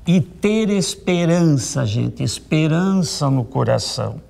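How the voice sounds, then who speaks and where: A man in his seventies, a tailor, speaking slowly and earnestly. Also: a little high, expressive, gravelly, darkish tone. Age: 70s. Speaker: interviewee, tailor.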